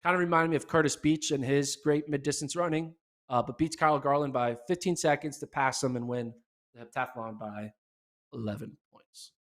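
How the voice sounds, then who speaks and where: A man hosting a casual solo podcast recap, chatty and excitable, with a voice going hoarse. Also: medium pitch, moderate pace. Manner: excitable, chatty, many fillers. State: losing his voice. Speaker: podcast host.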